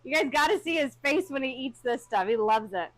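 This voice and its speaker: A woman's voice: high voice, expressive, brisk, over a noisy crowd. A mother of four, co-hosting a livestream, chatting and teasing while she reads viewer comments aloud. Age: adult mother of four. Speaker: co-host reading viewer comments. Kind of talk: casual livestream chat.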